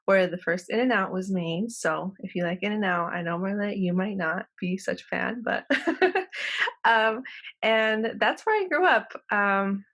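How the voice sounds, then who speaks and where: A woman in her thirties from the Los Angeles area, talking in a relaxed, chatty way as an interview guest over a video call. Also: mid-range voice, unhurried. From Los Angeles County, California. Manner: relaxed and chatty, many ums and small laughs. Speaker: guest, professor. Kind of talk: casual interview conversation. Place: home room, video call. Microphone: video call computer mic.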